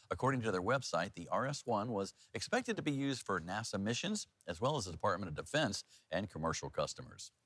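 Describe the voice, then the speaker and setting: A man's voice: lowish voice, a bit bright. A middle-aged American man presenting local TV news in a chatty, folksy, informal way. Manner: folksy, informal, loose ad-libbing. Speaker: news anchor and host. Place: TV news studio. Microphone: lapel mic.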